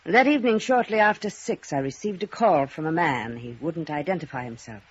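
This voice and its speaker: A woman's voice: deep voice, lively intonation, a little fast, a little hiss or hum. A woman acting an anxious, refined, mature lady in a 1950s radio melodrama, speaking hesitantly. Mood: frightened. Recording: dull, muffled old radio recording.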